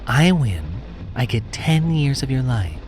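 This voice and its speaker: A man's voice: medium pitch, very animated, measured pace, a little mellow, a little hiss or hum, pitched above the performer's own voice. A man voicing a shapeshifting creature posing as a seductive, cruel woman in a horror story, coy and taunting.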